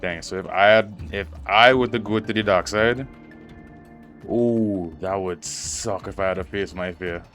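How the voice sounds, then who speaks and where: Male game streamer, lower register, casual reactions, thinking out loud in slang, faint music behind. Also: a little slow. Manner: musing aloud, slangy. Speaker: gaming streamer.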